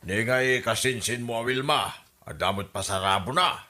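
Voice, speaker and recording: Man playing a cautious father, bright forceful voice. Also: medium pitch, moderate pace, lightly acted. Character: cautious, homebody father reminding his grown daughter. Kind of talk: Ilocano radio comedy drama acting.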